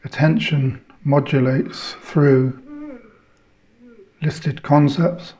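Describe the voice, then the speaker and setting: A man leading a guided meditation in measured short phrases, leaving long pauses between them. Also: on the high side, little pitch movement, darkish tone, lo-fi sound.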